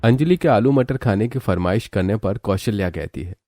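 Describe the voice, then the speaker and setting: A man reading scripted narration for an animated family story. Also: lowish voice, normal speed.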